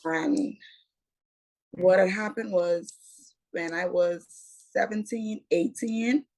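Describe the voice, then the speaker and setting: A young Bahamian woman tells her story at an easy, slow pace. Her voice sits in a middle register, and she keeps circling back with the same phrases as she recounts events. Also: mid-range voice, darkish tone, poor audio. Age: 20s. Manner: unhurried, repeats "what had happened was". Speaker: interview guest, entrepreneur. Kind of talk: casual interview storytelling.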